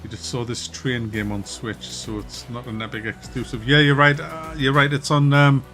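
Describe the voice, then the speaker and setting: A man with a Wearside Mackem accent from near Durham, casually chatting with viewers during a game stream, relaxed and chatty, often laughing and trailing off. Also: medium pitch, normal speed, soft background music. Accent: Wearside (Mackem) North East English. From North East England, near Durham. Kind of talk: casual live-stream chat reading viewer comments.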